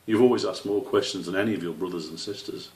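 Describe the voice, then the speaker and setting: A man voicing an old father squirrel in a children's story, stern but patient, speaking in a measured, gently questioning way. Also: low-pitched, natural voice. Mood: gentle, patient. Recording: roomy, slightly distant microphone.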